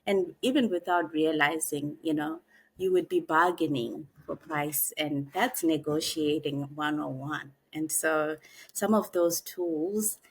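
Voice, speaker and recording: Lady in her 40s, low voice, thinks out loud with lots of ums, podcast guest. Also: slightly rough, poor audio. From Zimbabwe. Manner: reflective, unhurried, pauses with frequent "um". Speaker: guest, author and executive coach. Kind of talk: podcast interview, personal storytelling.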